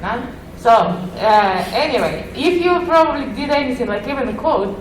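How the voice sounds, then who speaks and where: A woman's voice: high voice, expressive, normal speed, slight background noise. Ukrainian woman speaking in an echoey hall. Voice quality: slightly muffled voice. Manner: friendly, with frequent "uh" fillers. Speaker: conference speaker. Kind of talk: conference talk. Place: conference session room. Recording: echoey hall, heard from a distance.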